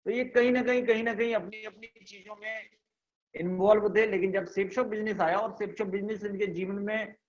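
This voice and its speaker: High-voiced man leading motivational training. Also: steady tone, brisk, highs cut off. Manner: repetitive, emphatic, questions the audience. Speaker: business trainer. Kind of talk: motivational training on a video call. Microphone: video call.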